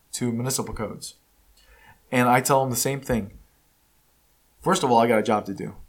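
A man hosting his own podcast and talking at his usual middle pitch, a native Spanish speaker who now speaks English. His delivery is blunt and emphatic. He often stops and restarts his sentences. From United States. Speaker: podcast host. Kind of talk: casual opinion monologue. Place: California.

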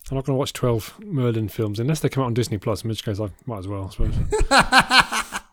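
A man co-hosting a casual film podcast, wry and dry-humoured, with hesitant fillers between quick remarks. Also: medium pitch, big pitch swings, pushed and bright, dry recording. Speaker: podcast co-host.

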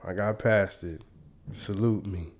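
A man's voice: on the low side, fairly even intonation, a little slow, slight background noise. A young man hosting a podcast in a casual, slangy way, stuffy and congested from a cold. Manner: laid-back, slangy, chuckling. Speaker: podcast host.

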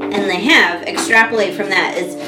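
A middle-aged American woman chatting on a podcast, lively and quick to laugh, restarting her thoughts as she goes. The room rings a little, and music plays underneath. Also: medium pitch. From United States. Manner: animated, laughs often, talks in restarts. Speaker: podcast co-host. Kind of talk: casual chat. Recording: roomy sound with music behind.

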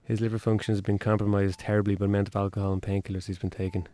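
A man's voice: lowish voice, level tone, mellow, natural voice. An actor playing a matter-of-fact male hospital doctor in a radio drama, clinical in manner. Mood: clinical, serious. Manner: clinical, measured in tone. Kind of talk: naturalistic radio drama acting.

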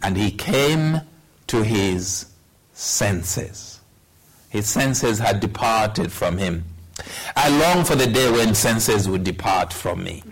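A man in his sixties preaching a sermon, telling a personal story in a measured way with wry humor. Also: lowish voice, expressive, husky. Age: 60s. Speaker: pastor.

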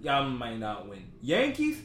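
A man's voice: mid-range voice, huge pitch swings, a little slow, a bit bright. A man co-hosting a casual sports talk podcast, emphatic and profane, repeating himself as he cuts in to make his point. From born in the Dominican Republic, raised in Boston. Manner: emphatic, repetitive, profane, interjecting. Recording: roomy, echoey room.

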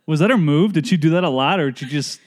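A man's voice: on the high side, fast. A man hosting a casual podcast chat, teasing his guest with joking banter into an inexpensive podcast microphone. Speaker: podcast host.

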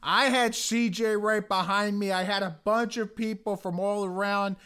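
A hoarse American man co-hosting a casual sports podcast, speaking earnestly and often restarting his sentences. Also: high-pitched, steady tone, normal speed, bright, forceful tone. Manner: earnest, many restarts and you-knows. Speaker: podcast co-host.

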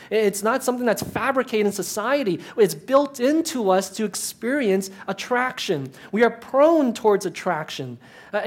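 Chatty, self-deprecating male minister. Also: high voice. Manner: chatty and self-deprecating, with frequent restarts and asides. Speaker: minister speaking to an audience. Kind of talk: conversational talk with humor.

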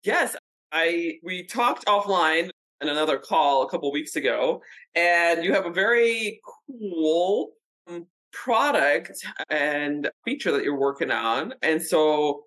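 A Russian-born woman in her forties hosts a podcast chat, upbeat and quick to laugh. She restarts her sentences often and keeps the talk casual. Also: fairly low pitch, animated, a bit bright, rough recording. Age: 40s. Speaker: podcast host.

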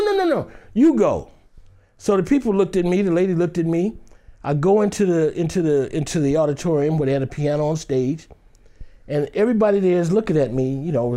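A man's voice: fairly high pitch, mellow. A man being interviewed, telling a story in a loose, conversational way and acting out the other people in it. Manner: loose conversational delivery with many "you know" fillers. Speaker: interview guest. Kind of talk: animated anecdotal storytelling.

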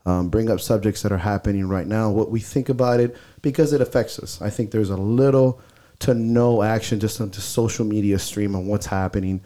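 A man's voice: fairly low pitch, steady tone, brisk, warm tone. A man co-hosting a podcast, talking bluntly and swearing freely, with a stuffy nose, recorded on a close mic. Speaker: podcast co-host. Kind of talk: casual podcast chat.

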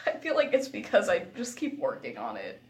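A woman's voice: mid-range voice, huge pitch swings, a little fast, a little mellow. A young adult woman in casual podcast chat, giggly and self-deprecating in the way she talks. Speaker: podcast host.

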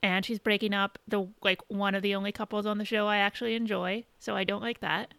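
A woman podcast co-host chatting casually in a halting, conversational way, recapping what she has been watching. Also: mid-range voice, level tone, gravelly, fairly bright tone, clear, resonant tone, dry recording. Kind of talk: casual chat, recapping shows and films.

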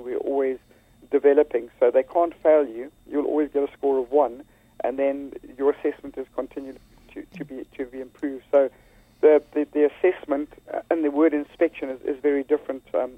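Brief: South African school head, earnest, stumbling restarts, heard over a thin phone line, dry sound. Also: male voice, mid-range voice, no echo. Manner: earnest, many restarts and repeated words. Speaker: school leader. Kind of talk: phone-in radio interview.

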